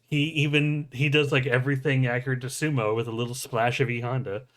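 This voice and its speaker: This is a man with an average-pitched voice in casual podcast conversation. He rambles with enthusiasm, doubling back as he explains things. Small chuckles often slip into his talk. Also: little pitch movement, a little fast, a bit bright. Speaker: podcast host. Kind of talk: casual chat about anime.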